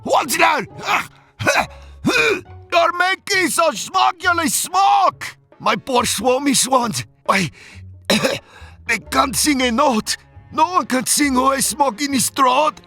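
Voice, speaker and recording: Grumpy old woodland creature, high-pitched, croaky, indignant, scolding, bright forceful tone, rhyming storybook character. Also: male voice, lively intonation, takes it slowly, soft background music, full character voice. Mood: outraged, croaky. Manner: emphatic, exclamatory, scolding.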